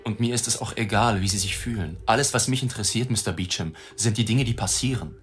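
A man's voice: fairly low pitch, fast talker, slight background noise, lightly acted. An actor playing a brash, impatient newspaper reporter in a German radio play, blunt and profane as he presses. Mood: pressing, insistent. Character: brash, foul-mouthed male newspaper reporter, relentless and impatient.

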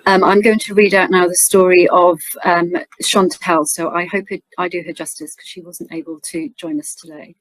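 A woman reading a prepared personal story aloud on a webinar over a video call, in a steady, formal way. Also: fairly low pitch, moderate pace, hardly any pauses, no echo, rough recording. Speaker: charity staff member reading a patient's story.

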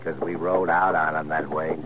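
An American actor playing a surly, jeering outlaw sidekick with a rustic drawl in a radio Western. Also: male voice, rumbling low, fairly even intonation, normal speed. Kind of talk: 1950s radio Western acting. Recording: muffled, very noisy old radio recording.

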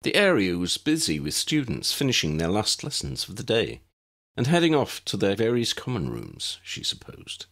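Low, creaky male audiobook narrator. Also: wildly swooping pitch, moderate pace, fairly bright tone. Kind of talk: scripted story narration.

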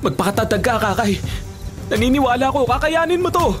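Devoted young Filipino hero, high-voiced, emphatic and pleading, radio melodrama with score behind. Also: male voice, lively intonation, a little fast. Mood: urgent, encouraging. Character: devoted young man urging his cursed beloved to fight. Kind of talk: Filipino radio drama acting. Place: small radio studio booth. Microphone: studio condenser with pop filter. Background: dramatic music.

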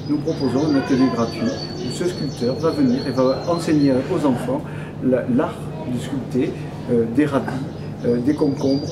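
A man in his fifties explaining in an interview, measured and hesitant, often pausing mid-phrase. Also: medium pitch. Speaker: restaurant owner interviewee. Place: indoor restaurant room. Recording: loud ambient noise behind.

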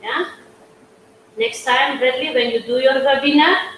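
High-voiced Georgian woman pastry chef. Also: steady tone, unhurried, a bit bright. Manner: chatty, coaxing, broken English, keeps saying guys. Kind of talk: live cooking demonstration. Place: large studio kitchen, indoors. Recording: echoey studio kitchen, faint hum.

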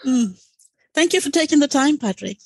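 A woman's voice: high-pitched, animated, normal speed, a little mellow, a little hiss or hum. A woman hosting a podcast, speaking in a conversational interviewing style. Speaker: podcast host.

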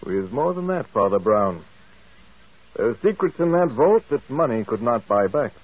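An actor playing a brisk, self-assured, skeptical office boss in a radio drama. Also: male voice, medium pitch, big pitch swings, husky. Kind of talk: old radio drama acting. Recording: muffled, tinny vintage radio broadcast.

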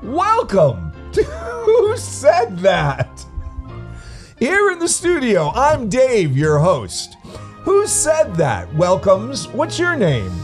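A man playing a showy game show host in a comedy sketch, announcing with drawn-out greetings. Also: very high-pitched, huge pitch swings, soft background music, pitched above the performer's own voice, exaggerated voice.

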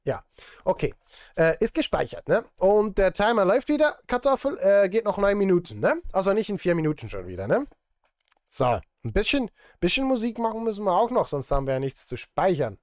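A man's voice: high voice, very animated, a little fast, a little mellow, dry recording, studio quality. A man, chatting casually and playfully on a livestream while he works. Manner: playful, talking to chat and himself. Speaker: music streamer and composer. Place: small indoor room, livestream setup.

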